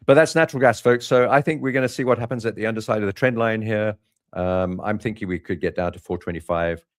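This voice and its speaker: A man hosting a live trading update, talking loosely with many fillers and restarts about markets and his own past. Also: sits low. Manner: relaxed, rambling with frequent fillers and restarts. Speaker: trading channel host.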